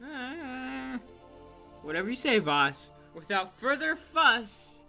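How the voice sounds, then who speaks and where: Man putting on a high, snarky sidekick voice. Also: big pitch swings, slow, creaky, bright, forceful tone, faint music behind, heavily acted. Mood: theatrical, grudging. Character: snarky sidekick mascot of the narrator, theatrical and sarcastic. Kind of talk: scripted comic audio drama banter.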